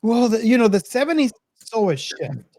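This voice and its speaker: A middle-aged woman hosting a casual film discussion, speaking reflectively and haltingly as she circles back over her phrases. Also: fairly high pitch, sing-song, darkish tone, no echo, studio quality. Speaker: podcast host.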